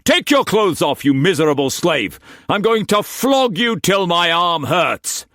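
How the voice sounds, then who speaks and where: A man's voice: high-pitched, sing-song, unhurried, a bit bright, no room sound, clean studio sound, pitched above the performer's own voice, heavily acted. A man voicing a furious, domineering master who barks harsh commands at his household. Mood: raging, bellowing.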